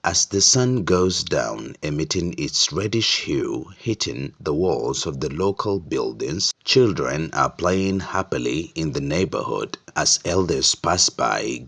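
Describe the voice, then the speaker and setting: A man with a Nigerian accent narrating a folktale as an oral storyteller, in a descriptive, deliberate way. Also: very deep voice, vocal fry, pushed and bright, breathy edge.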